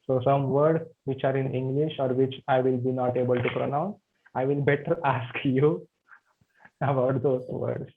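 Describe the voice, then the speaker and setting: A man's voice: medium pitch, steady tone, moderate pace, creaky, background noise. Indian man teaching over a video call. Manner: friendly, asks students questions. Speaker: yoga anatomy teacher. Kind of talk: online class teaching. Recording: tinny video call audio.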